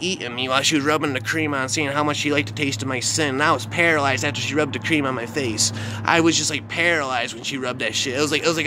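High-pitched, agitated male storyteller, noisy outdoors. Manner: agitated, repetitive, profane, slangy. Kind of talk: improvised first-person dark fictional storytelling. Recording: noisy outdoor recording with traffic ambience.

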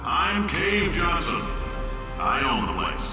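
An actor playing a brash, bombastic middle-aged American company founder making folksy pre-recorded announcements in a video game. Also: male voice, high-pitched, sing-song, slow, exaggerated voice. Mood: grandly welcoming. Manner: bombastic, folksy salesman bluster. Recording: echoey far-off announcement, music behind.

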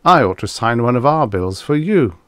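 A man's voice: medium pitch, very animated, moderate pace, a bit bright, no echo, voice pushed up. A man voicing an uneasy, reserved husband with a slightly stiff manner in a comic story. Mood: stiff, polite.

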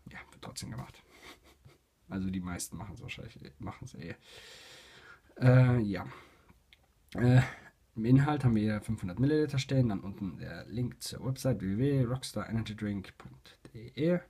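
A young man with a cold, casually reviewing a product and talking in a halting way with many fillers and restarts. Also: lowish voice, warm tone, breathy edge. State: has a cold. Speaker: YouTube reviewer. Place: indoors at a table, voice-over with hands on camera.

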